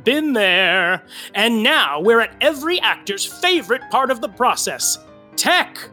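Bright, wry podcast narrator. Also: female voice, on the high side, sing-song, measured pace, soft background music, full character voice. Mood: wry, chatty. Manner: wry, chatty, mock-dramatic. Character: wry, flustered woman narrator of backstage theatre comedy. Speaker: host and narrator of a scripted comedy podcast. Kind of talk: scripted comedic narration.

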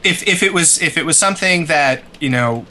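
A man's voice: a little high, expressive, a little fast, pushed and bright, a little hiss or hum. A man co-hosting a casual gaming podcast with a blunt, opinionated way of talking. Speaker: podcast co-host.

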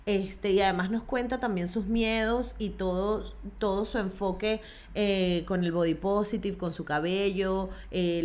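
A woman's voice: mid-range voice, a little mellow. A woman hosting a podcast, talking with eager enthusiasm and lots of fillers. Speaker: podcast host. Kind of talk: casual podcast chat.

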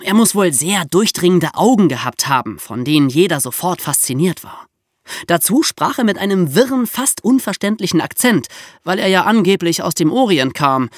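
A woman's voice: on the low side, no room sound, clean studio sound. A woman hosting a history podcast, telling the story in an explanatory, emphatic way. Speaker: podcast host.

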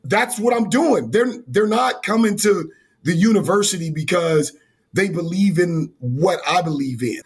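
Hoarse male college coach in his forties, husky and slightly high voice, earnest and rambling. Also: expressive, measured pace. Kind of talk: interview answers.

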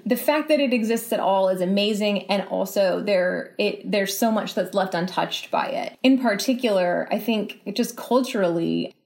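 A woman's voice: mid-range voice, normal speed, gravelly, few pauses, darkish tone. A woman giving thoughtful, conversational interview answers, reasoning aloud and rephrasing as she goes. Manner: reflective, exploratory, repeats and rephrases as she reasons. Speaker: interview guest, writer.

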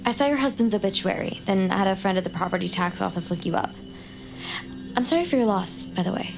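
A young woman in an audio drama, talks in a medium-pitched voice over music. She is glib and insistent, intense and socially awkward in the way she explains herself. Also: expressive, fast, gravelly, rough recording. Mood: defensive, earnest. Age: young adult. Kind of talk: naturalistic audio drama acting.

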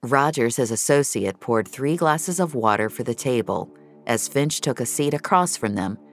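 A woman's voice: very deep voice, animated, moderate pace. A woman reading scripted fiction as a dramatic narrator. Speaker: narrator and reader of an audio fiction episode.

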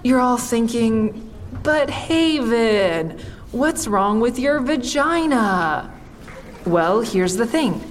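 A woman's voice: medium pitch, a little hiss or hum. An actress playing a frank, sardonic young woman who narrates her own story in an audio drama in a confessional, wry way. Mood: brash, joking. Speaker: actor in a fiction podcast.

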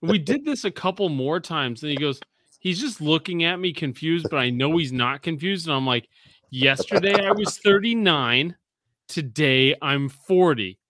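Bright-voiced man in his forties, rambling. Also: on the high side, expressive, moderate pace, no room sound. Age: 40s. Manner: rambling storyteller, swears freely. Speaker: podcast host. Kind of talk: casual podcast banter.

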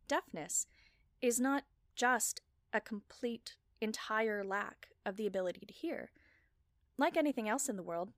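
A woman delivering a scripted personal talk as a podcast creator, with measured, articulate phrasing and deliberate emphasis. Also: mid-range voice, dry recording, studio quality.

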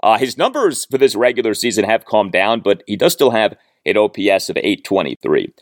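An American man hosting a sports podcast, giving a solo baseball recap with emphatic, deliberate phrasing and dramatic pauses. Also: lowish voice, very animated, no echo, studio quality.

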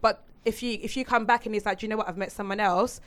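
A woman co-hosting a casual podcast chat, blunt and frank, laughing loudly. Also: mid-range voice, steady tone, fast talker. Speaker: podcast co-host.

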